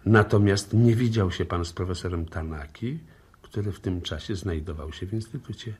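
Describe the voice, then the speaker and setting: An actor playing a probing, politely insistent police inspector in a radio play, formal and dry in manner. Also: male voice, low-pitched, normal speed, a little mellow, slightly rough. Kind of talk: 1960s Polish radio play acting.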